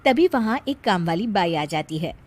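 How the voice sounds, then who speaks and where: A woman's voice: lowish voice, expressive, normal speed. A woman reading a scripted moral story as its narrator in a storytelling style.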